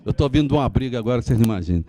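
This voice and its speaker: A man's voice: mid-range voice, lively intonation, rapid-fire, dark tone. A tired seventy-year-old Brazilian man making a rambling, sentimental, informal address to a meeting. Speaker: retired police officer and association advocate.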